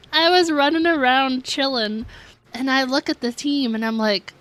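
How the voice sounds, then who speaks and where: A woman's voice: high-pitched, steady tone, slow pace, fairly bright tone. A woman livestreaming a game, chatting casually and informally in a giggly, self-deprecating way. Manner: informal, peppers speech with "like". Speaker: game streamer, host. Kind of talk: casual livestream chat while playing a game.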